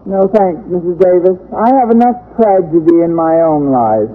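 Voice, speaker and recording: A woman's voice: on the low side, normal speed, close to an everyday voice. An actress playing a wisecracking woman English teacher with dry, sardonic delivery in an old radio sitcom. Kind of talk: 1940s-50s radio sitcom acting. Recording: noisy, tinny old-time radio recording.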